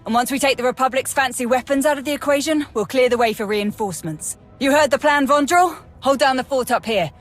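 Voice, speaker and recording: An actress playing a brash, wry military major in a video game, blunt and breezy. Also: female voice, sits high, fairly quick, hardly any pauses, soft background music, close to an everyday voice. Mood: upbeat, resolute.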